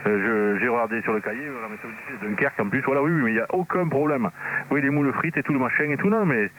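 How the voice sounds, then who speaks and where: A man's voice: mid-range voice, rapid-fire, a crowd in the background. A man chatting casually as an amateur radio operator, repeating himself and peppering his talk with little verbal tags. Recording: noisy two-way radio with hum.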